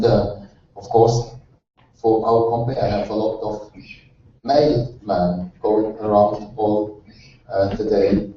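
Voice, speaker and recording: Swiss German man, slow non-native conference talk, far off in a reverberant hall. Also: mid-range voice, warm tone. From Switzerland. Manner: halting non-native English with frequent fillers and restarts. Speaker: conference speaker from a postal company. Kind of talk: conference presentation. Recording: echoey hall, distant muffled mic.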